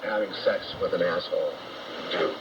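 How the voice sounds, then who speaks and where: An American man preaching on shortwave radio in a blunt, confrontational, profane rant, halting and repeating himself. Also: deep voice. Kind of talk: unscripted ranting sermon. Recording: noisy muffled shortwave radio broadcast.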